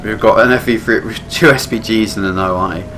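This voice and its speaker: A man's voice: lowish voice, brisk, over music. A British man giving casual video game commentary, dry and self-deprecating, rambling along to himself. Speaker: gameplay commentator. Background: video game vehicle and battle sounds.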